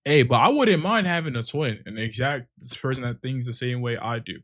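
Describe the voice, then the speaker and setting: A man's voice: medium pitch, a little fast, fairly bright tone, a little hiss or hum. A man, giving casual, slangy reaction commentary as a video host.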